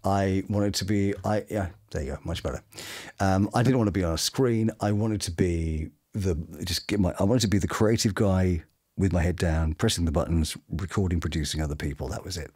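A middle-aged man telling anecdotes casually as a guest, chatty and full of quick asides. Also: low-pitched, a little mellow. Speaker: voice actor guest. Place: small home studio room, indoors.

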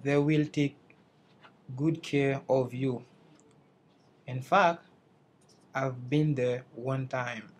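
A young man from Haiti walks his classmates through a slide presentation in English, which he has been learning. He speaks slowly and carefully in a mid-range voice, sometimes restarting a phrase as he searches for the right words. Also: mid-range voice, steady tone. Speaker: English language student presenting.

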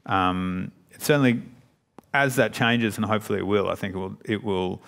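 Guy on stage panel, flat low voice, lots of restarts. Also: moderate pace, gravelly. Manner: hesitant, many restarts. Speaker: panel guest. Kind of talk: panel discussion. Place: stage of a large auditorium.

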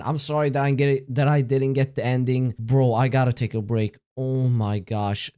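A young man playing a horror game and commenting into a headset mic in a small room, jumpy and gasping at scares, his voice going hoarse from all the screaming. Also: mid-range voice, a little mellow. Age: young adult. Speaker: gaming streamer.

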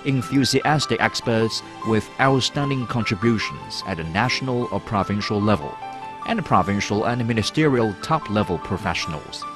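A man reading a formal, measured promotional narration as a voice-over. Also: lowish voice, expressive. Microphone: studio voice-over. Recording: voice-over over loud music. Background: music.

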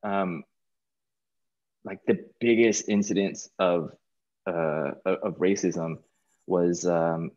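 A middle-aged man with an American accent and a fairly low voice answers as a guest in a casual interview. He speaks informally and haltingly, circling back over words. The sound is a little muffled, as on a video call. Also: fairly even intonation, darkish tone. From California, United States. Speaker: guest, stage performer. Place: small plain room indoors, video call.

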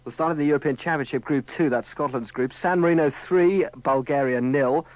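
A man's voice: a little high, brisk, darkish tone, no room sound, poor audio. A man reading scripted football results as a radio sports announcer.

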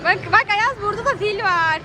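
Very high-pitched woman vlogging over music. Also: brisk. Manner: exclaiming, calling out sights in short phrases. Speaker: host of a children's family vlog. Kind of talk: excited vlog commentary to a child and viewers. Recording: loud background music and crowd outdoors. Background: music and crowd noise at an amusement boat ride.

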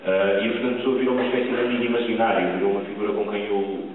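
A man's voice: on the low side, level tone, rapid-fire, a crowd in the background. A young man from Porto, an actor, earnestly telling a theatre audience about his role, with frequent hesitations. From Porto, Portugal. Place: large theatre stage. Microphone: far camera, room sound. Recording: distant, echoey, tinny hall recording.

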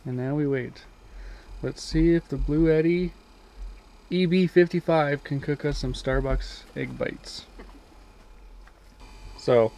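A man's voice: on the high side, takes it slowly, pauses often. A young man, chatting casually through his camping and fishing vlog, outdoors at a campsite and on a lake. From Canada. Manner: relaxed, upbeat gear commentary. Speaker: outdoor vlogger. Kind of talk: casual chat. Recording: background music under voice. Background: music.

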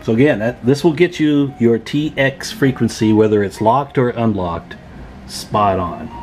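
A man's voice: mid-range voice, measured pace, darkish tone, soft background music. A man hosting a casual hands-on tutorial as a radio hobbyist, explaining informally step by step at an indoor workbench. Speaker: radio repair hobbyist, video host.

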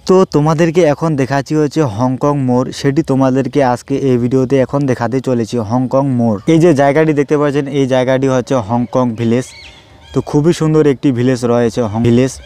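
A man's voice: medium pitch, steady tone, fast, dark tone, clear, resonant tone. A man narrating a travel vlog in loose, repetitive, improvised phrasing. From West Bengal, India. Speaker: travel vlogger. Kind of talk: travel vlog narration pointing out roads and places.

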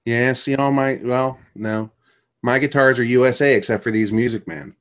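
A man's voice: medium pitch, moderate pace. A middle-aged man hosting a podcast, chatting casually and joking over a video call from a small home room, trailing off with ums as he goes. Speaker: podcast host. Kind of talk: casual chat. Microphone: webcam on a video call.

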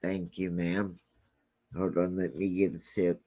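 A man with a deep, scratchy voice hosting a true crime channel, talking casually and rambling with many fillers. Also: flat delivery, a little slow, warm tone.

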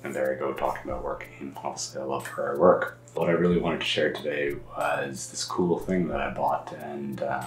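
A man in his thirties with a lost, hoarse voice, chatting excitedly and rambling through a show-and-tell vlog from his home study. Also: extremely low pitch, normal speed, few pauses, reverberant, faint music behind. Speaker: stationery vlogger. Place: home study with lamps and bookshelves.

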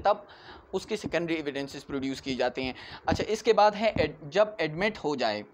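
A man's voice: fairly high pitch, slight background noise. A man in his twenties with a Pakistani accent giving an explanatory lecture, didactic, asking questions and answering them himself, switching into English legal terms. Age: 20s. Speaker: law lecturer. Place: indoors in front of a whiteboard.

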